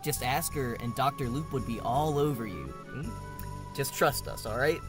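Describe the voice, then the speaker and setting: A man's voice: medium pitch, animated, moderate pace, over music, lightly acted. A man playing a cocky, dismissive young athlete, slangy and domineering, in an audio drama. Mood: coaxing, smug. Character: cocky, dismissive young athlete bullying his nervous teammate.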